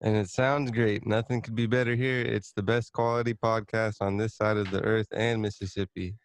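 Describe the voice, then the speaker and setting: A man's voice: lowish voice, flat delivery, normal speed, no echo. A man co-hosting a podcast in casual comedic banter, joking and swearing freely. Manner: joking, profane. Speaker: podcast co-host.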